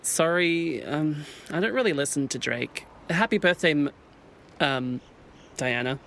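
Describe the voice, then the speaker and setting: A man's voice: fairly high pitch, unhurried, gravelly, a bit bright, a little hiss or hum. A young man playing an anxious, hurt misfit swan in a modern audio drama, speaking in a hesitant, conversational way. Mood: uneasy. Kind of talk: audio drama acting, naturalistic modern dialogue.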